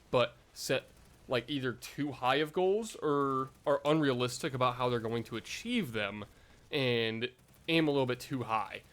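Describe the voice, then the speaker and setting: A man's voice: mid-range voice, fairly bright tone. A young man vlogging in a casual, rambling way, full of fillers and self-deprecating asides.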